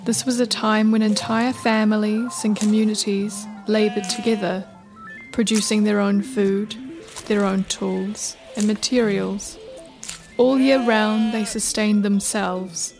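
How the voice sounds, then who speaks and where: A woman narrates in a scripted storybook style, very slowly and at a middle pitch. Faint music plays beneath her voice. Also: little pitch movement.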